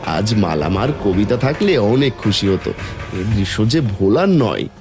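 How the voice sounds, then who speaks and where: A man playing a humble, earnest poor villager in an animated folk tale, speaking with dramatic feeling. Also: fairly low pitch, unhurried, music in the background. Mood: moved, grateful.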